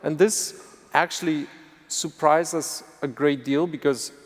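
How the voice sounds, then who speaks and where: A man with a Polish accent, a native Polish speaker, answering an audience question on a game panel in an earnest, halting way. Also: fairly high pitch, fairly even intonation. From Poland. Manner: earnest, halting, repeats words. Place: large convention hall. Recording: convention hall reverb.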